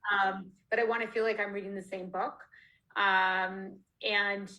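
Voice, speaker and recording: A woman's voice: mid-range voice, little pitch movement, a little slow, sharp tone, poor audio. A woman author from Canada chatting casually in an interview over a video call, laughing often and restarting her sentences. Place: home, online video call.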